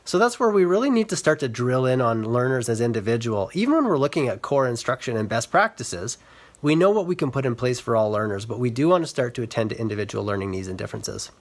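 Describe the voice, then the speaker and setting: Man, medium pitch, educator, earnest explanatory delivery, measured phrasing, social media talk. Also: expressive. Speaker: reading specialist and educator.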